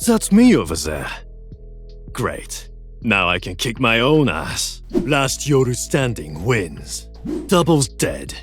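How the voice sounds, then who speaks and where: A man's voice: mid-range voice, wildly swooping pitch, slow pace, soft background music. A man acting a cocky, brooding duelist from a shooter game, dry and swaggering.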